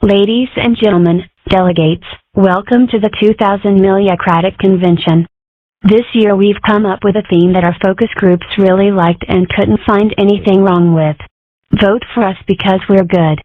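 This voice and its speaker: A woman's voice: medium pitch, fairly even intonation, normal speed, no echo, natural voice. A woman voicing an earnest, opinionated animal character in a comic dub, speaking in declarations. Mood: speechifying. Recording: dry, phone-like narrow sound.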